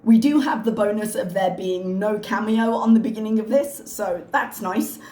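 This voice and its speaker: A woman with a hoarse, slightly strained voice, chatting casually and wryly as a reaction channel host. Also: mid-range voice, moderate pace, warm tone, echoey room. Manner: chatty, wry, self-mocking. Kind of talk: casual chat to camera.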